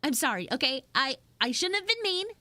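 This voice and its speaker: A woman voicing a flustered, grudging tsundere frog girl in a live audio roleplay, speaking casually with halting stammers. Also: high voice, very animated, bright, forceful tone, no echo, heavily acted. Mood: awkwardly apologetic. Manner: halting, stammering, drawn-out delivery.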